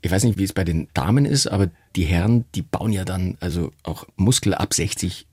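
A man's voice: low-pitched, fairly quick, a little mellow, slightly rough, no echo, clean studio sound. A man in his fifties, chatting casually as a guest, laughing often and poking fun at himself. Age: 50s. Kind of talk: casual chat.

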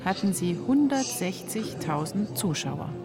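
A woman's voice: fairly low pitch, animated, a little slow, music in the background. A woman in her forties narrating a scripted documentary as its reporter. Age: 40s.

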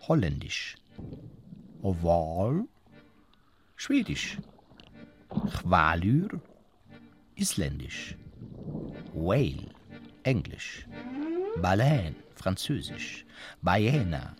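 A solemn scholarly man recites for a radio play. His low voice moves extremely slowly with wide swings in pitch, each word set apart. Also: slight background noise, exaggerated voice. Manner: slow, single words set apart.

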